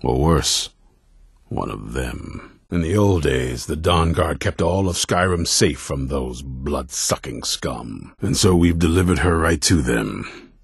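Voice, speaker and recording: An older man playing a grim, ruthless vampire hunter commander in a video game, stern and blunt, with a very deep, gravelly, raspy voice. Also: expressive, slow, dry recording, clean studio sound, heavily acted. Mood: grim, bitter. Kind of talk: video game character dialogue.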